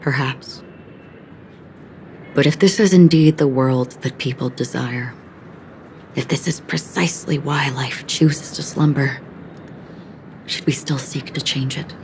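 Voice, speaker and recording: A woman playing a melancholic lone wanderer in a video game, speaking in a measured, grave, reflective way with weighty pauses. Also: very deep voice, a little hiss or hum, poor audio. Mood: somber, reflective. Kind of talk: video game cutscene voice acting.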